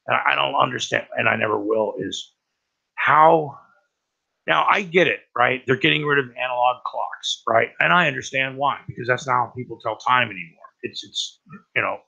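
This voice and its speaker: Raspy man with a cold, bright pushed tone, rambling profane stream host. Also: medium pitch, normal speed, highs cut off. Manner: profane and rambling, lots of "right?". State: has a cold. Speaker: livestream host. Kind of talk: rambling casual talk about news.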